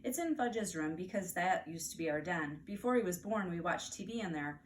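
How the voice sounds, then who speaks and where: A woman with an American accent reads a children's story aloud as a teacher, animated and deliberate for young listeners. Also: on the low side. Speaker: teacher reading aloud to first graders. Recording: distant mic, faint background noise.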